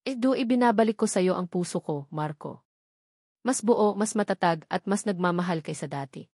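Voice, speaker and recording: A woman's voice: lowish voice, moderate pace, darkish tone, no room sound, clean studio sound. Woman voicing a tender, emotional young Filipino mother. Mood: loving, solemn.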